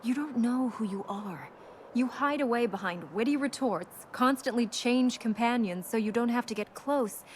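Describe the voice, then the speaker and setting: An actress playing a serious, determined young princess in a video game, earnest and dryly sharp-tongued. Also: female voice, on the high side, normal speed, slight background noise, close to an everyday voice. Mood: stern, dry. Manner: earnest, dry, blunt.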